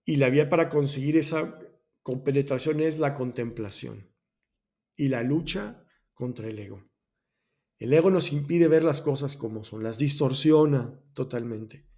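A man's voice: mid-range voice, a little slow, mellow. A man hosting a personal growth talk, explaining Buddhist ideas in a thoughtful way, often restarting and correcting himself. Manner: thoughtful, self-correcting, many restarts and asides. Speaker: host of a personal growth podcast.

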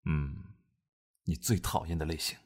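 A man acting a cool, teasing young friend in an audio drama, with a husky, laid-back delivery. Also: rumbling low, steady tone, measured pace, a little mellow.

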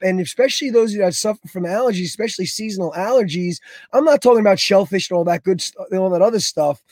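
Male co-host, raspy and nasal from allergies, upbeat and jokey, high-set voice, dead-dry booth sound. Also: no echo. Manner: lively, animated, joking. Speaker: fitness instructor and podcast co-host. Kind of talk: casual podcast chat.

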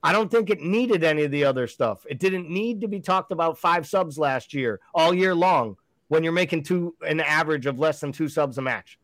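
A man's voice: on the high side, normal speed, a bit bright, no room sound. Fired-up man with a dry close sound. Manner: emphatic, argumentative, rhetorical questions. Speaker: podcast co-host. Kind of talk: casual sports chat.

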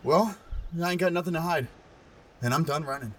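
A man's voice: on the high side, fairly quick, a bit bright, far from the mic. A man playing an earnest, resolute young scout. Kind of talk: western audio drama acting. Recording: music behind the voice.

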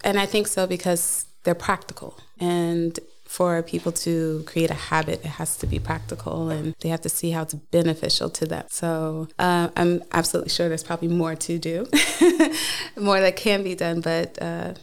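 A woman from the United States, a guest on a studio podcast answering questions thoughtfully, often laughing and pausing with uh. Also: lowish voice, flat delivery, creaky. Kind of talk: casual interview answers.

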